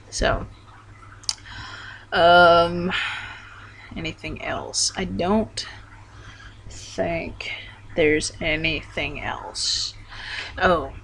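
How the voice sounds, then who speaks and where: A young man giving a casual video update, talking hesitantly with lots of ums and restarts. His voice wobbles between deeper and higher tones. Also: sharp tone, a little hiss or hum, low-quality recording. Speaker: vlogger.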